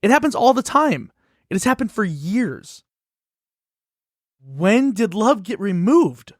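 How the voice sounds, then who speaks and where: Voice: medium pitch, sing-song, slow pace, no echo, clean studio sound. An actor in a musical puppet show voicing an anxious, earnest children's book that pleads its case expressively. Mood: pleading, indignant. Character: earnest, anxious award-winning children's book facing a ban.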